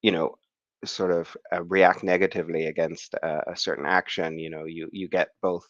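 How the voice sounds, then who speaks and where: A man speaking at an online conference, his voice croaky after the flu, hesitant with many fillers and restarts. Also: low voice, flat delivery, normal speed, fairly bright tone, dry recording, lo-fi sound. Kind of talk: academic online talk. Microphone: video call.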